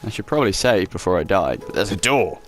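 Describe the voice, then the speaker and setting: A man's voice: deep voice, big pitch swings, fast talker. Sarcastic young man playing a horror game. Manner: sarcastic, swears, mutters to the game. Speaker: let's play host. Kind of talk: casual gameplay commentary, reading notes aloud. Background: faint game music.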